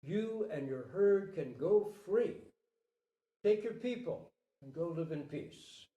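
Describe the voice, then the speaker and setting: A man playing a proud, regal human king in a storybook parable, declamatory and drawn-out, humbled by what he hears. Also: on the high side, animated, mellow, recorded from a distance, lo-fi sound. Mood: humbled, grand. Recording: roomy, echoing live recording.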